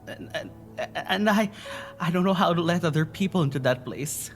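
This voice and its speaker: An actor playing an anxious, poetic being in an audio drama, speaking in halting, earnest stammers. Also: mid-range voice, expressive, natural voice. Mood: anxious, self-blaming. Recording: music underneath.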